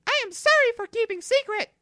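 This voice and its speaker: A man voicing a small, earnest talking monster pet in a squeaky falsetto for a read-aloud story. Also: expressive, brisk, pushed and bright, dry recording, clean studio sound, heavily acted. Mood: apologetic.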